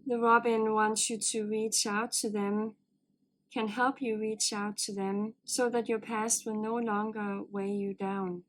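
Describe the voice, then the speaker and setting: A woman giving a spiritual oracle card reading to her viewers, in a calm, earnest and gently encouraging way. Her voice is low and a little hoarse, as if she has a cold. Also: a little slow, slight background noise, low-quality recording.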